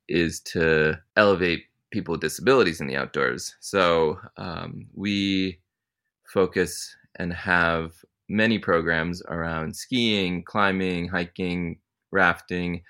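Young male interview guest, very deep voice, easygoing and reflective delivery. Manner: relaxed, thoughtful, many ums. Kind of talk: casual interview answers.

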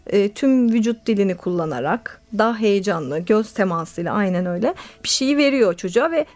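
A woman play therapist, a guest on a radio interview, explaining her ideas thoughtfully with many pauses and fillers. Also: medium pitch, expressive, darkish tone.

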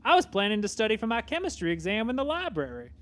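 Actor doing teen boy sidekick, deadpan, patient, bright crisp voice. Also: medium pitch, quick pace. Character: level-headed teenage boy sidekick. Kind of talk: comedy audio sketch.

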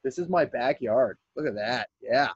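A man's voice: mid-range voice, animated, moderate pace, husky, no echo, lo-fi sound. A man in casual podcast chat, joking crudely, calling in from a camper trailer. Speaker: podcast guest.

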